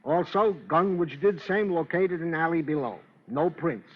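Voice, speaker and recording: A man's voice: fairly high pitch, normal speed, natural voice. A man playing a fussy, long-winded police sergeant in an old radio crime drama, with stilted, formal report phrasing. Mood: dry, dutiful. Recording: dull vintage radio recording.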